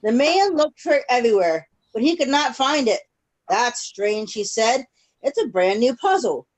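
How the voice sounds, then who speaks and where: A woman reading a picture book aloud to young children on a video call, pausing to check in with them using simple questions. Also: on the high side, lively intonation, low-quality recording. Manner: pauses to check in with young listeners.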